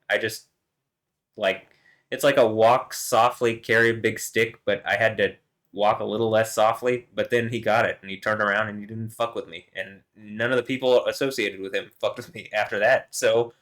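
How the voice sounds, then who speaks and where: A man's voice: fairly even intonation, normal speed, a bit bright. A man vlogging alone, rambling and swearing, often chuckling and trailing off mid-sentence. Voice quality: deep voice. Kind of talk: rambling solo monologue to camera.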